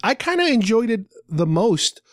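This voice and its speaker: A man's voice: high-pitched, very animated, normal speed, dry recording, clean studio sound. A middle-aged man hosting a podcast, talking casually and explaining things like an enthusiastic fan. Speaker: podcast host.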